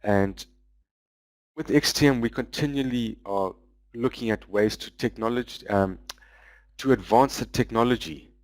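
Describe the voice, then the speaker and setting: A man giving a webinar presentation, walking through software features in a loose, explanatory way with frequent pauses. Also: medium pitch, no echo, rough recording. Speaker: business development manager presenting a webinar.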